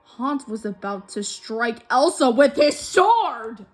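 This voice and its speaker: A woman's voice: a little high, slow pace, faint music behind. A woman reading a children's story aloud expressively, acting out the characters' dialogue as she goes. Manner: animated, acts out dialogue. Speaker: storybook reader.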